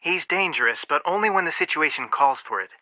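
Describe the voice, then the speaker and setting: An actor playing a cautious, level-headed, skeptical young detective in scripted video game dialogue. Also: male voice, fairly high pitch, expressive, a little fast, sharp tone, dry recording. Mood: wary.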